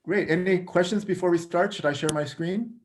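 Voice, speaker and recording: A man's voice: sits high, brisk, slight background noise. Man presenting on a video call. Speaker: travel photography company head, presenter.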